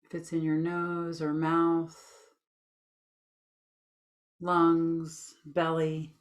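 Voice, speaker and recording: A woman with a slightly low voice guides listeners through a meditation. She gives gentle, soothing instructions in plain, informal language, and she takes her time between phrases. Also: monotone, extremely slow.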